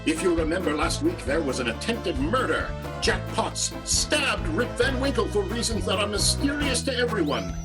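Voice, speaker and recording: Guy announcing an improv radio soap, really animated, music loud behind him. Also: high voice, moderate pace, few pauses. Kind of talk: dramatic recap narration, radio announcer.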